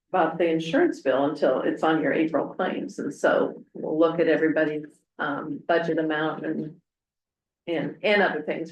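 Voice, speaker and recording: A woman speaking as a city clerk, explaining procedure at a public meeting in a council room. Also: low-pitched. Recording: dull, echoey meeting room audio.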